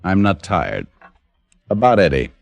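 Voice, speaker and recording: A man's voice: low voice, very animated, measured pace, gravelly, a little hiss or hum, heavily acted. An American man playing a wisecracking, hard-boiled private detective, acting and narrating in a dry, sardonic way in an old-time radio drama. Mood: cool, sardonic. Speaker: lead actor and narrator. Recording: vintage radio broadcast with background music.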